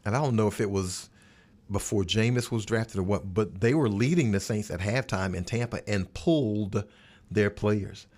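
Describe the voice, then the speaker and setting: A man's voice: on the low side, normal speed, darkish tone. A man talking sports casually as a podcast panelist, emphatic and repeating words for stress. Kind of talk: casual sports roundtable discussion.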